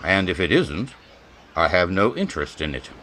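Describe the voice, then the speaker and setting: A man playing a cold, commanding major in a radio western, speaking formally and with measured authority. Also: sits low, very animated, pushed and bright, a little hiss or hum, heavily acted. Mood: coolly formal. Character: cold, commanding major leading a lynch mob. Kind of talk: old-time radio drama acting.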